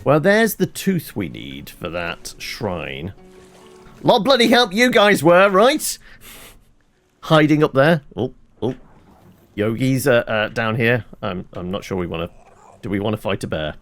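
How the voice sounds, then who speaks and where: A man narrating his own gameplay in a casual, excitable way, his pitch leaping all over the place. He curses and chuckles at every mishap. Faint music plays underneath. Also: unhurried. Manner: excitable, swears and laughs at mishaps. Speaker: let's play host. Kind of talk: gameplay commentary.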